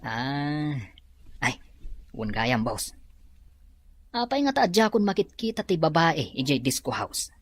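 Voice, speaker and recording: A man's voice: a little high, sing-song, slow, long pauses, dry recording, exaggerated voice. A man playing a chatty, curious underling addressing his boss in an Ilocano drama. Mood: curious, deferential. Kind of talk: Ilocano radio-style drama acting. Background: music.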